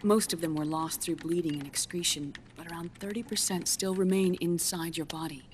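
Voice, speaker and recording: A woman acting a solemn, low-voiced female scientist. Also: a little mellow, slight background noise, close to an everyday voice. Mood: earnest, clinical. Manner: measured, solemn, precise phrasing. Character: grave, compassionate female scientist explaining with quiet intensity. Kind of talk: dramatic video game voice acting.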